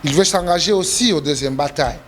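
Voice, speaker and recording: Emphatic Congolese man, high and heated. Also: fast talker, a bit bright, a little hiss or hum. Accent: Congolese French. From Democratic Republic of the Congo. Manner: emphatic, repeats himself, pitch jumps when indignant. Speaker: politician guest. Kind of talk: heated political interview.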